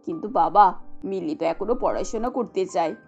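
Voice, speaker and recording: A woman playing a gentle widowed mother who stands up for her daughter in a cartoon. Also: lowish voice, moderate pace, lo-fi sound, faint music behind, natural voice. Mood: earnest, defensive. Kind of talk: Bengali cartoon dubbing.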